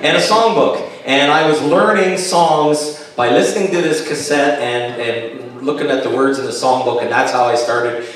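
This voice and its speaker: A man's voice: mid-range voice, moderate pace, a bit bright. Echoey folksy Canadian pastor, older man. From Kitchener, Ontario, Canada. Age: late 50s. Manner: folksy, joking. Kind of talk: sermon with personal testimony, anecdotal. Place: chapel, on stage at a pulpit. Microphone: stand mic over speakers, recorded by far camera. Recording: very echoey chapel, distant camera pickup.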